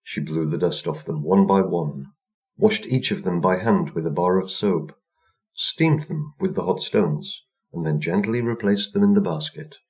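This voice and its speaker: A man's voice: deep voice, unhurried, darkish tone. A man narrating a scripted folktale for children as a storyteller.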